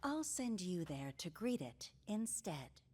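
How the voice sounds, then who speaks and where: This is a steely female assassin, with a fairly low voice. She delivers threats in a quiet, measured, cold manner. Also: big pitch swings, husky, heavily acted. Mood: threatening. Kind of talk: video game voice acting.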